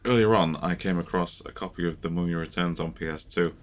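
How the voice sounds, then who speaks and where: A man's voice: low-pitched, brisk. A man co-hosting a podcast, chiming in with film details and giggling in casual chat. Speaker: podcast co-host.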